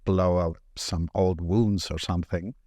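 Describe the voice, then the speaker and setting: A man hosting a podcast, asking probing questions with gentle hesitations. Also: deep voice, fairly even intonation, a little slow, no room sound, clean studio sound. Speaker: podcast host. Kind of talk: interview questions.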